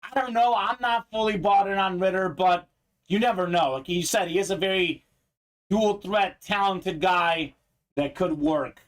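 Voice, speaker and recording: Male host from Bulgaria, fairly high voice, casual running chat about the pulls. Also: normal speed. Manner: chatty, reads out viewer comments. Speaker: live card break host. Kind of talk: casual live-stream chat.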